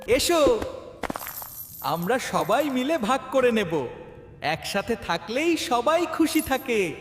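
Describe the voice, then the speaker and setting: A man's voice: very high-pitched, sing-song, takes it slowly, soft background music, exaggerated voice. A man voicing a polite, earnest treasure seeker in a children's cartoon. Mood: cheerful.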